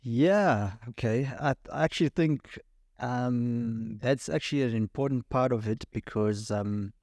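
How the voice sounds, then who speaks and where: A man's voice: mid-range voice, measured pace, a little mellow, no echo, studio quality. A middle-aged man with a Southern African accent, chatting casually as a podcast guest and telling his own story. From Cape Town and Namibia. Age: 40s or 50s. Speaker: podcast guest, sound engineer.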